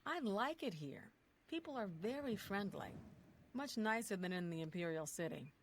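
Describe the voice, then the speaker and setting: A woman's voice: mid-range voice, animated, normal speed, a little hiss or hum, close to an everyday voice. An actress playing an aggrieved, frank townswoman and wife in a fantasy video game. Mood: helpful. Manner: frank, rambling, exasperated. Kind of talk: video game dialogue acting.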